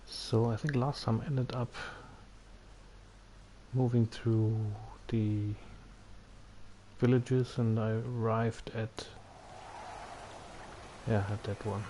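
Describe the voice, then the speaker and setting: A man's voice: medium pitch, fairly even intonation, slow pace, pauses often, slight background noise. A man giving casual video game commentary, hesitant and thinking aloud as he plays. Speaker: gamer hosting a playthrough. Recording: loud game music behind voice.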